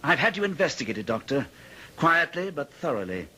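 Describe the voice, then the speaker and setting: An actor playing a stern, accusing manor gentleman in a radio drama, speaking formally and with commanding deliberation. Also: fairly high pitch, very animated, normal speed. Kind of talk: old-time radio drama acting. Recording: noisy old radio drama recording.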